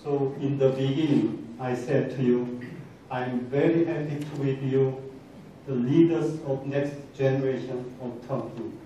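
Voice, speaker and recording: A middle-aged man with a Korean accent giving a halting, earnest motivational talk to students, often repeating and rephrasing himself. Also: mid-range voice, fairly even intonation, warm tone, muffled recording. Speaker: guest speaker. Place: large indoor university lecture hall. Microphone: handheld microphone, captured from across the room. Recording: very echoey hall, distant, faint noise.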